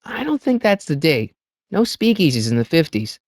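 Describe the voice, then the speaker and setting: An American teenage boy for a video game, with a high, youthful voice that jumps around expressively. He is excitable and wisecracking, with a hesitant, stammering boyishness. The sound is dry and clean, with no room around it. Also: normal speed, no echo. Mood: curious, wry. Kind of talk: video game voice acting, short character lines.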